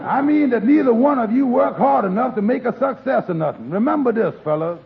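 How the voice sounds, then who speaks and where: An actor playing a blunt male friend who scolds his pals, with a gravelly, husky voice and dialect speech, in 1940s radio comedy. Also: extremely high pitch, lively intonation, brisk, some chatter behind, full character voice. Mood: lecturing. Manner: lecturing, frank. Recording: tinny old radio broadcast recording.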